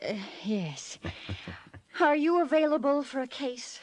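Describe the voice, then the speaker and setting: A woman's voice: high-pitched, sing-song, takes it slowly, darkish tone, exaggerated voice. An actress playing a nervous, evasive young woman who hesitates and bristles, in an old radio detective drama. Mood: nervous, hesitant. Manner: hesitant, stammering, indignant when pressed. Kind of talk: 1940s radio drama acting.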